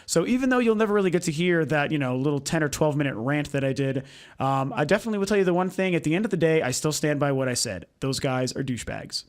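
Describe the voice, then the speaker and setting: A young man with a slightly high-pitched voice gone hoarse, as if he is losing it. He chats in a loose, rambling way, full of ums and uhs, while hosting his own video game vlog from home. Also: lively intonation, fast. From United States. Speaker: video game vlogger, channel host. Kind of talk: casual opinionated vlog talk. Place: home recording setup.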